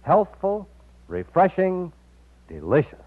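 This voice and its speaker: A man's voice: sits high, huge pitch swings, slow. A man reading a scripted commercial as an old-time radio announcer. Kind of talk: 1940s radio advertisement read. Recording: dry, tinny old radio recording.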